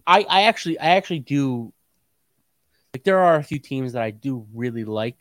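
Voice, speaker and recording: A man's voice: medium pitch, expressive, moderate pace, fairly bright tone, no room sound, studio quality. A young American man co-hosting a sports podcast in casual chat, often repeating himself for emphasis.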